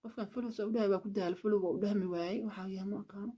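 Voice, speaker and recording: A woman's voice: medium pitch, fairly even intonation, rapid-fire, dark tone, breathy edge. A woman from Somalia, chatting casually on a livestream, switching languages and thanking viewers for gifts. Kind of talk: casual live-stream chat.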